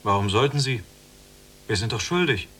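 An actor playing a restless, dreamy younger prisoner longing for escape in a radio play, plain and measured. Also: male voice, fairly low pitch, animated, bright, forceful tone, a little hiss or hum. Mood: insistent. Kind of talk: literary radio play acting.

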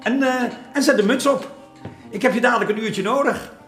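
An actor playing an exacting master painter in a historical radio drama, chiding his apprentice in an unhurried way with hesitant pauses. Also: very high-pitched, a bit bright. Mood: instructing. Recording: echoey room, music underneath.

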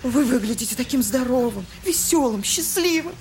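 An actress playing an effusive, sentimental society lady in a Russian audio drama, with a halting, melodramatic delivery. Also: high-pitched, lively intonation, darkish tone, slight background noise. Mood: rapturous.

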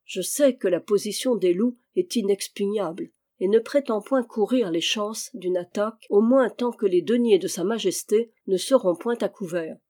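A reader voicing a composed male army captain who speaks in a measured, cool way, in an audiobook reading. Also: medium pitch, a little mellow, natural voice. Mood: calm.

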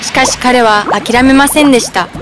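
High-voiced woman narrating a fairy tale. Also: normal speed. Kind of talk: scripted children's storybook narration. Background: music.

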